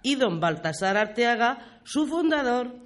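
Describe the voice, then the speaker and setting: A man's voice: very high-pitched, very animated, measured pace, fairly bright tone, exaggerated voice. A man playing a knowledgeable local who patiently explains village history in a scripted radio drama. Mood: explanatory. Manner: explanatory, didactic.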